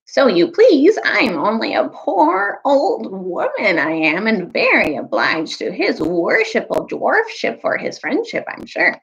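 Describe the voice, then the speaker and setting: A woman's voice: on the high side, wildly swooping pitch, slow, gravelly, hardly any pauses, slightly rough, dull audio, voice pushed up, heavily acted. A woman voicing a fawning, spiteful old hag from a storybook, in a thin, whining, wheedling voice. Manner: wheedling, obsequious.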